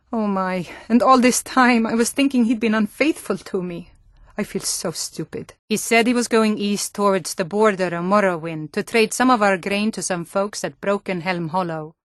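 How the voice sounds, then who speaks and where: Actress as proud Nordic townswoman, fantasy game dialogue, blunt, earnest, mid-pitched, close dry recording. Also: no echo, lightly acted. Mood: shocked, hurt, then resentful. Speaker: video game voice actor.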